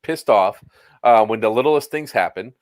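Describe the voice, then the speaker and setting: A man's voice: lowish voice, expressive, normal speed, no room sound. A man in his forties chatting on a casual podcast over a video call, blunt and freely swearing. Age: 40s.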